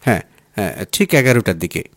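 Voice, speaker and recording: A man's voice: fairly low pitch, sing-song, brisk, dry recording. Man voicing a condescending, self-assured office boss. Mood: flirtatious, easygoing. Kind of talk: audiobook character dialogue.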